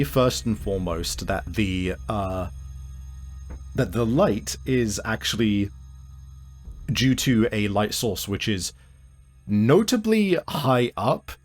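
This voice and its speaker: A man's voice: lowish voice, expressive, takes it slowly. A man narrating a tabletop roleplaying game as game master, improvising and often searching for his words, with music behind him.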